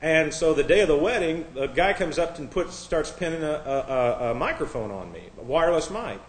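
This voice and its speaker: A man's voice: a little high, animated, brisk. A man from Indiana preaching a sermon in a conversational way, full of asides and wry jokes, and reading scripture aloud in a raised, formal voice. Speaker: pastor. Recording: echoey room.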